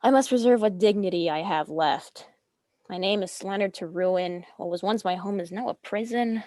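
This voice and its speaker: A woman's voice: mid-range voice, normal speed, a little mellow, dry recording, clean studio sound, natural voice. A woman playing a proud, composed noble widow in a dramatic script reading, speaking formally and with poise. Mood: wounded pride.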